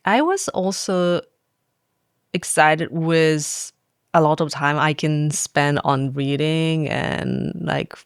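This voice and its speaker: A woman talks through her story in an easygoing interview, often laughing and leaning on "like". Her voice is smooth and resonant, recorded dry and close. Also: sits low, no room sound. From Japan. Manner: chatty, laughs often, fills with "like". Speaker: faculty guest. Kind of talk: casual interview chat.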